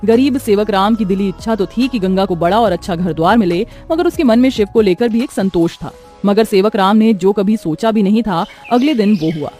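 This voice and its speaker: Casting: female storyteller for scripted moral tales, medium pitch, faint music underneath. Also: brisk, hardly any pauses, dark tone.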